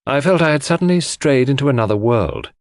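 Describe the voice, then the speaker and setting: Man narrating with animated, swooping intonation. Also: mid-range voice, a little fast, dry recording, studio quality. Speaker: audiobook narrator. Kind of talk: scripted first-person literary narration.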